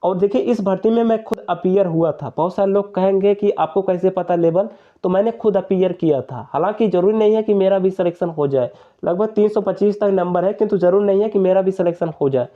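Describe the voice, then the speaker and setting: Young male explainer, high-pitched, persuasive and repetitive, on a dull narrow recording. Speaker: exam-prep YouTuber. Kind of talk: informal explainer addressing viewers.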